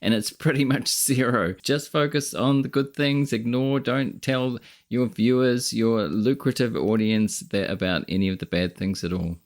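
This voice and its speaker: A man's voice: medium pitch, normal speed, few pauses. Skeptical man giving casual commentary. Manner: skeptical, critical. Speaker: video host and finance commentator.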